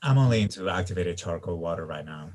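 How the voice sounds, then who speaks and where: A man's voice: sits low, lively intonation, fast, a little mellow. A man playing a cool, aloof, trendy young man with a hesitant, polite manner in a screenplay table read. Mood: coolly amused.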